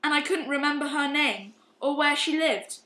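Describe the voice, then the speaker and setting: Woman playing a guilty young man, high voice. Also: sharp tone. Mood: ashamed. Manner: confessional, halting, ashamed. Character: guilt-ridden, heavy-drinking young upper-class man. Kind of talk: amateur radio play acting. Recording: roomy, echoing amateur recording.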